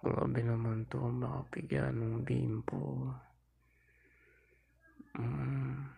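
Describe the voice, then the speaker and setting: A man's voice: medium pitch, little pitch movement, extremely slow, dark tone, heavily acted. A man playing a drunk, playful, clingy young boyfriend in an intimate Filipino ASMR roleplay, half-whispering and drawling into a close mic. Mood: tipsy, teasing. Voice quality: breathy, husky, often half-whispered, gravelly creak. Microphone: close lapel mic into a mobile phone.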